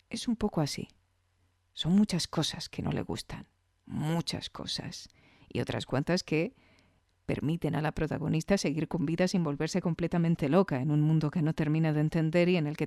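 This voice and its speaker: A woman's voice: sits low, mellow, no room sound. Woman podcast host, close dry sound. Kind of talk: scripted podcast narration with conversational asides.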